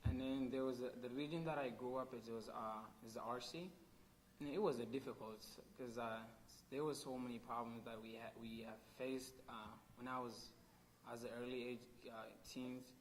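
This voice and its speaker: A native Oromo speaker from Ethiopia talks about growing up, in English with an Ethiopian accent. His voice is of middling pitch, and he halts often, searching for his next words. A faint hiss sits in the background. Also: fairly even intonation, moderate pace, poor audio. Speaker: oral history interviewee. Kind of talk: personal oral history.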